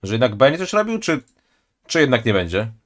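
A man's voice: medium pitch, big pitch swings, fairly quick, very noisy. A male streamer comments at home on a video he is watching. He turns sarcastic and keeps stammering and restarting his words. His voice sits in the middle of the male range. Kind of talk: casual reaction commentary.

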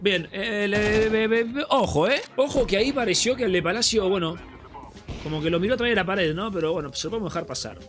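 A man's voice: high-pitched, fast. Congested Rioplatense man with game music. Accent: Rioplatense Spanish. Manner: chatty, addresses viewers as friends. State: congested from a cold. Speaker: gaming streamer. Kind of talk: casual gameplay commentary. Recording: game music and gunfire behind.

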